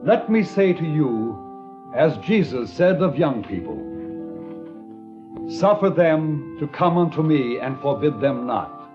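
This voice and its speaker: An earnest preacher, solemn, measured, slightly high voice, dull old radio sound. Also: male voice, lively intonation, over music. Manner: measured, solemn reading of scripture. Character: earnest small-town male preacher. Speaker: reverend. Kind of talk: 1950s radio drama acting.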